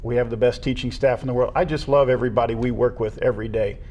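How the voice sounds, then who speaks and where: A man's voice: medium pitch, steady tone, quick pace, warm tone, a little hiss or hum. A middle-aged man with an American accent, talking casually and earnestly as a guest in an interview about his work. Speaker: retiring assistant athletic director, interview guest.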